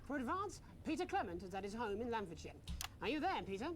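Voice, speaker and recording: A fumbling news anchor, lively up-and-down voice, some hiss. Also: male voice, extremely high pitch, quick pace. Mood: flustered. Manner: polished but hesitant, many "um"s. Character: flustered male TV news anchor.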